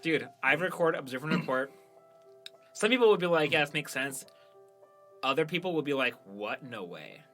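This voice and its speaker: A man's voice: fairly high pitch, expressive, moderate pace, pauses often, fairly bright tone, faint music behind. A man hosting a podcast in casual chat, speaking in an emphatic, plain-spoken, informal way. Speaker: podcast host and audio drama producer.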